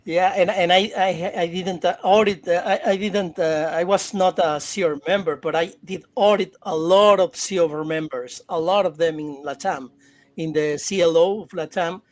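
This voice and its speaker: A man in his forties from Mexico with a Mexican Spanish accent, a native Spanish speaker talking as an interview guest over a video call. He talks haltingly, repeats words and laughs often. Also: sits high, fairly even intonation. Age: 40s. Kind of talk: casual interview.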